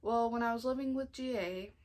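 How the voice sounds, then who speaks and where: A young American woman of about nineteen, talking informally and earnestly in a halting way, as in a personal storytime vlog. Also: on the high side, darkish tone. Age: 19, nearly 20. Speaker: family vlogger. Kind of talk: personal storytime vlog, casual confessional talk.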